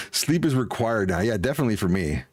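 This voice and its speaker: A man's voice: on the low side, very animated, fairly quick, a little mellow, husky, dry recording, clean studio sound. A man in his forties hosting a game livestream, chatting casually with viewers in an upbeat, folksy manner. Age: 40s. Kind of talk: casual livestream chat, greeting and answering viewers.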